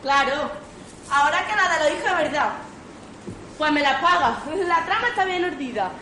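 A woman's voice: sits high, animated, normal speed, pushed and bright. A woman playing a proud young lady, haughty and cutting, in an amateur radio farce. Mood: wounded, scheming. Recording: echoey, noisy amateur recording.

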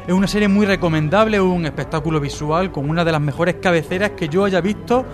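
A man's voice: high-pitched, fast, over music. A man from Spain with a Spanish accent giving a casual, jokey radio review, partly read out and sometimes stumbling. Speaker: radio show contributor reviewing TV series.

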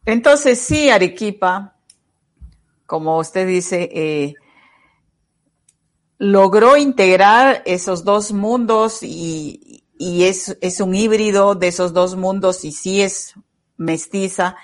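A woman, interviewing in a measured, courteous way. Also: mid-range voice.

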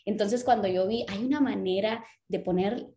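A woman's voice: medium pitch, normal speed, mellow. A woman from Guatemala, a lettering teacher and business owner, telling her story in a casual interview with frequent fillers.

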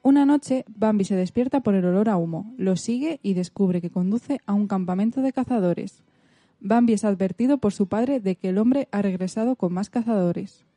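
A woman's voice: mid-range voice, normal speed, mellow, no echo. A woman reading a story summary as a scripted narration.

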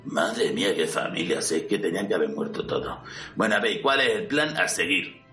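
A man's voice: sits low, expressive, moderate pace, pushed and bright. A man with a Spain Spanish accent playing a boastful, irritable, foul-mouthed fallen conqueror in an amateur parody audio drama, blunt and demanding. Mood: scheming, scornful. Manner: blunt, demanding, incredulous, swaggering. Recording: roomy, distant mic, music underneath.

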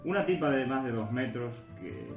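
A droll, mock-solemn man acting as narrator of a comic fantasy radio play. His mid-pitched voice sits in a roomy echo with music clearly behind it. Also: very animated, moderate pace. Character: tongue-in-cheek male narrator of a comic fantasy adventure. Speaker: narrator of an audio drama. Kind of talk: scripted storybook-like fantasy narration.

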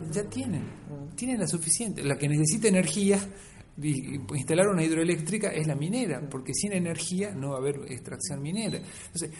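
Argentine man, slightly high voice, noisy recording. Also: a little mellow. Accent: Argentine Spanish. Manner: emphatic, discursive, often adds tag questions. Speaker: interviewed academic researcher and author. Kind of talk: explanatory radio interview. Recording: clear background noise.

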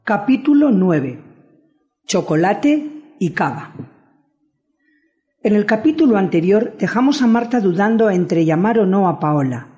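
A man's voice: sits high, sing-song, a little slow, pauses often, dark tone, lo-fi sound, soft background music. A man with a Spain Spanish accent narrating a radio drama as a confiding storyteller. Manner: confiding, addressing the listeners.

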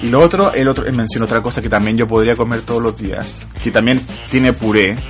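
A man with a Chilean accent co-hosting a casual radio chat, talking in relaxed slang and often laughing. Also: mid-range voice, fast, soft background music. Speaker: radio co-host.

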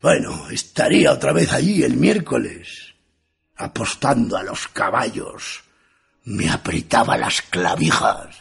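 Man acting a gravelly, jaded writer narrating. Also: medium pitch, expressive, a little slow, heavily acted. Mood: deadpan, weary. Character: jaded, sardonic male writer narrating his idle days. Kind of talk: first-person radio theatre narration.

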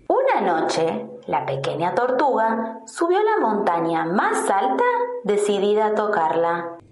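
A woman's voice: medium pitch, big pitch swings, unhurried, darkish tone. A woman with an Argentine accent, a kindergarten teacher reading a children's story. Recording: roomy echo with music. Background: music.

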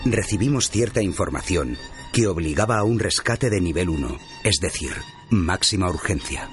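Very deep, grave male official over music. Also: expressive. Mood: grave, formal. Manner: measured, formal, official. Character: grave male official recounting an emergency containment operation. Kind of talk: radio drama narration, formal report. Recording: prominent music under the voice.

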